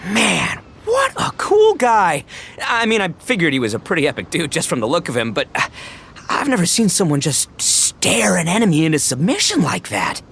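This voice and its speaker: A man's voice: high voice, huge pitch swings, normal speed, vocal fry, fairly bright tone, slight background noise, full character voice. An actor playing a brash, big-hearted young male gang boss in an anime game, with swaggering, slangy bravado. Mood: awestruck.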